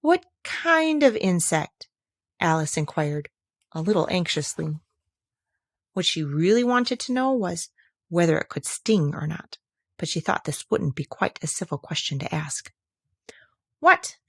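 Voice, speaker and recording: A woman reading a children's story aloud as an expressive narrator. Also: sits low, moderate pace, breathy edge, no room sound, clean studio sound. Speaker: audiobook reader.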